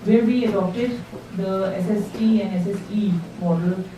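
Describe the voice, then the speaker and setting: A woman's voice: medium pitch, fairly even intonation, moderate pace, warm tone. A woman from Pakistan presenting her paper at a workshop, explaining steadily with some fillers. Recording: distant dull mic in an echoey room with background noise.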